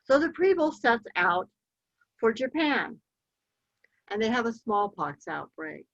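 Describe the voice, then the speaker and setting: A woman giving a slide-show history talk, telling the story in a measured way with occasional ums. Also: on the high side, sing-song, fairly bright tone, no echo. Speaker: local history speaker. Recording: dull, lo-fi dry recording.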